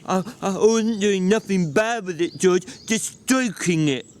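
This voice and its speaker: A man voicing a big, childlike, slow-witted man who pleads timidly in a dramatic audiobook reading. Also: high-pitched, voice pushed up. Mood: sheepish. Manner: slow, halting, dejected pleading.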